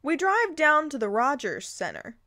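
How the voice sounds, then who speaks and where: Woman, bright forceful voice, informal story reading, exclamatory commentary, dry recording. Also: sits high, moderate pace, dry recording. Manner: expressive, amused, exclamatory. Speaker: host reading fan fiction aloud with commentary. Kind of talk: informal reading with reactive asides.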